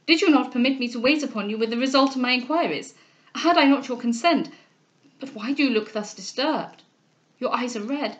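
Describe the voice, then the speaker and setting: A woman's voice: on the high side, a bit bright, muffled recording. Young lover from a period novel, voiced by a woman: ardent, bewildered, formally spoken, imploring. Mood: amazed concern. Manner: impassioned, formal, rhetorical questioning. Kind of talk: dramatic reading of a classic novel.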